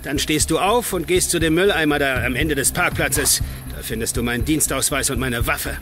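Man playing bitter agent, fairly high male voice, grim, insistent, radio thriller, music underneath. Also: normal speed, lightly acted. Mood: cold, commanding. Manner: grim, pressing. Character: bitter, determined rogue government agent holding a gun. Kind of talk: radio drama acting.

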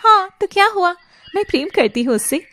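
A woman voicing a young lovestruck fairy in an animated story, earnest, defiant and emotional. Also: very high voice, fairly quick, voice pushed up, heavily acted. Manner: emotional, dramatic delivery.

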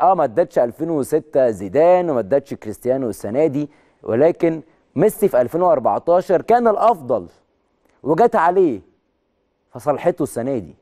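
An Egyptian man in his thirties delivers a sports news monologue with a hoarse, mellow voice. He talks emphatically and pushes his pitch up on key words. He speaks standing in a large TV studio on a lapel mic. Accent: Egyptian Arabic. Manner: emphatic, rising to excited peaks on key words. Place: large TV studio with video wall.

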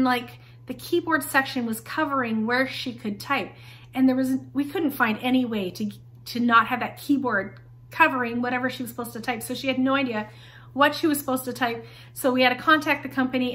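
Chatty homeschooling mom talking to camera. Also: female voice, a little high, fairly bright tone. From Taiwan. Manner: chatty, friendly, frequent "like" fillers. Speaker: homeschool vlogger. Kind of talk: casual curriculum review. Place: home schoolroom with bookshelves, indoors.

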